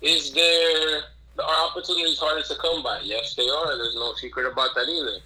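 A man's voice: a little high, steady tone, moderate pace, sharp tone, low-quality recording. A man speaking casually as a podcast guest, earnest and emphatic, often repeating phrases and posing rhetorical questions. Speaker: podcast guest, streetwear entrepreneur. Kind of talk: casual interview conversation.

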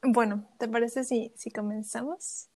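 A woman hosting a podcast, friendly and casual, filling pauses with little words. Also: fairly high pitch, steady tone, darkish tone. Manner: friendly, uses fillers like "este" and "bueno". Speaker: podcast host.

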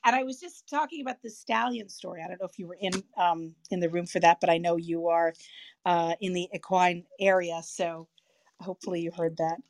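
A woman's voice: on the low side, brisk, gravelly. A woman hosting a radio talk show, her voice a little scratchy, encouraging her guests. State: slightly scratchy voice. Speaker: radio host. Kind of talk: casual chat.

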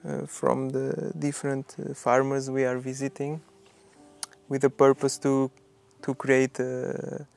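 A man's voice: medium pitch, monotone, slow, vocal fry, a little mellow, soft background music. A man hosting a podcast and talking about his own path, hesitantly, with many fillers and restarts. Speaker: podcast host. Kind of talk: personal storytelling.

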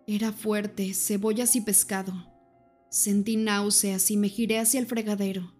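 A woman narrates an audiobook in the first person, reading in a measured, even manner. Her delivery stays flat, with little rise and fall, and her pitch sits in a middle range. Soft music plays faintly underneath.

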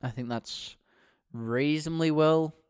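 A man's voice: on the high side, measured pace, a little mellow, smooth, dry recording, clean studio sound. A young man co-hosting a political podcast, chatting casually with wry asides. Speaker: podcast co-host.

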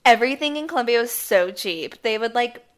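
A woman in her twenties co-hosting a podcast, a bit hoarse from losing her voice, telling stories in a chatty way and laughing often. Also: fairly high pitch, expressive, fairly quick. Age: 20s. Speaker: podcast co-host. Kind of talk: casual chat.

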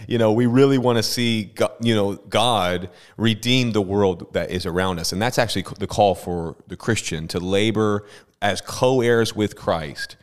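A man hosting a church podcast as a pastor, chatty and quick, often stumbling and restarting mid-thought. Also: lowish voice, clear, resonant tone.

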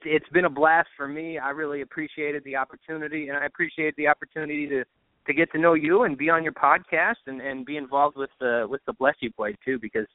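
Male announcer as podcast guest, relaxed conversational style, medium pitch, narrow telephone-like sound. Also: no echo. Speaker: guest, radio baseball announcer. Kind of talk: casual sports chat. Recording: thin phone-like line, dry.

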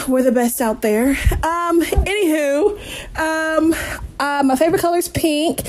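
Playful, high-voiced young woman. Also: unhurried. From Kentucky. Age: young adult. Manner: laid-back, playful. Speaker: podcast co-host. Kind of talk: casual chat.

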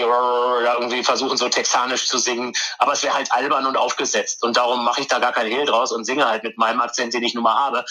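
Man, musician guest, chatty, self-correcting, bright tone, radio sound. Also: mid-range voice, rough recording. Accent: mixed, partly Canadian-English tinged. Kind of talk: casual interview talk.

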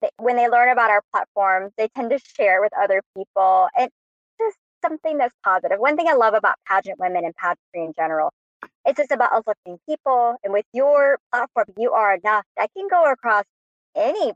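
A woman's voice: fairly high pitch, animated, fairly quick, no echo, dull audio. A woman hosting a podcast interview, complimentary and enthusiastic. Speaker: podcast host.

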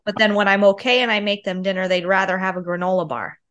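A woman's voice: mid-range voice, steady tone, fast talker, a bit bright, dull audio. A woman in her thirties co-hosting a podcast, nasal and sick with a cold, chatting in a confiding storyteller way. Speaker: podcast co-host. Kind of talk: casual chat.

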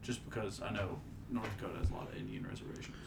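A man co-hosting a casual podcast, joking and banter-driven, with a southern US accent, mumbling one moment and loud the next. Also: lowish voice, fairly even intonation, rapid-fire, creaky, slight background noise. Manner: playful, jumps between mumbling and loud exclaiming. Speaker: podcast co-host. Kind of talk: casual chat.

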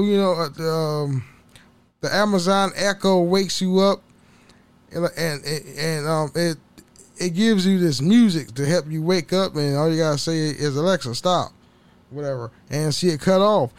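A man with a somewhat high-pitched voice chats alone into a home mic, loose and rambling. He curses freely and laughs often, and his voice carries a slight hoarseness. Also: unhurried, no echo. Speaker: podcast host. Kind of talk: casual solo podcast chat.

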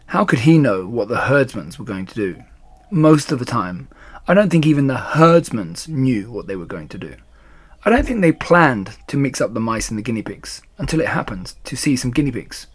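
A man reading a children's storybook aloud in an expressive style. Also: mid-range voice, husky. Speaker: storybook reader.

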